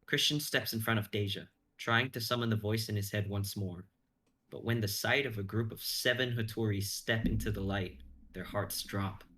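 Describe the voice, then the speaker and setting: Young adult man, low register, suspenseful dramatic narrator for an action fantasy. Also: steady tone, moderate pace, pushed and bright. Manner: intense, suspenseful storytelling. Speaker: stream host and narrator.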